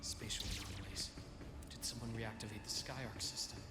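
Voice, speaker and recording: A man's voice: fairly low pitch, fairly even intonation, moderate pace, in a whisper, lightly acted. A man playing a composed, resolute doctor and fighter in a video game, speaking in a formal, clipped, controlled way. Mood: wary. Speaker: game character voice actor. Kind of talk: scripted game voice acting. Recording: very noisy game stream capture.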